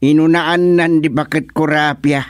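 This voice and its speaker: An actor playing a chatty, blunt older village husband in an Ilocano comedy radio drama. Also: male voice, on the high side, steady tone, measured pace, clear, resonant tone, no echo, studio quality, natural voice.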